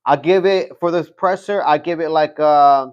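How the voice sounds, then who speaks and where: A man's voice: a little high, normal speed, dull audio. A man hosting a live stream, chatting loosely as he rambles, repeats himself and swears now and then. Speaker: livestream host. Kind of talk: casual live-stream commentary.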